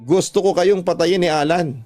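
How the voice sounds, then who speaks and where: A man's voice: sits high, normal speed, darkish tone, voice pushed up, natural voice. A man voicing a jealous, hurt young boyfriend in an accusing, emotional radio drama style. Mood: furious, hurt. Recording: music under the voice.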